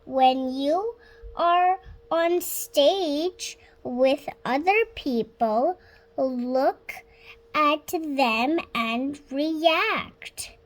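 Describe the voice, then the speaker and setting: A girl of about six reading a children's storybook aloud, halting word by word, stumbling and correcting herself. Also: high voice. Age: child, about 6 years old. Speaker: young reader practising reading aloud.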